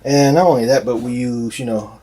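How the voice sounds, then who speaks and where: A man speaking casually and off the cuff as a loudspeaker maker presenting products, in a hesitant, repetitive, halting way. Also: mid-range voice, steady tone, husky. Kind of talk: casual unscripted product explainer. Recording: noticeable background noise, amateur video sound.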